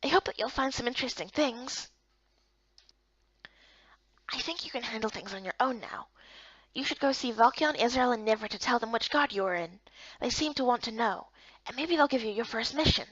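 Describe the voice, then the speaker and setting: A woman's voice: a little high, expressive, moderate pace, long pauses, fairly bright tone, no room sound, highs cut off. A woman voicing a patient, helpful male guild guide in a visual novel game, speaking politely and instructively.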